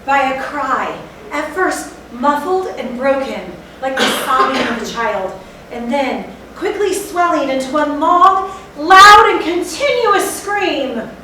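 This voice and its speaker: A woman on stage plays a frenzied horror storyteller who relishes dread. The voice is high, forceful and theatrical. It rings with strong echo, recorded at a distance. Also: expressive, slow pace, exaggerated voice. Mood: horrified intensity. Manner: theatrical, intense. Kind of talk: dramatic stage reading of Gothic horror. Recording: echoey hall, distant mic, faint noise.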